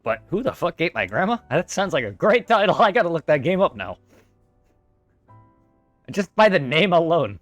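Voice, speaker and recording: A man's voice: fairly high pitch, big pitch swings, normal speed, long pauses, fairly bright tone, no room sound, faint music behind. A man streaming live, chatting casually with viewers, thanking them and swearing freely.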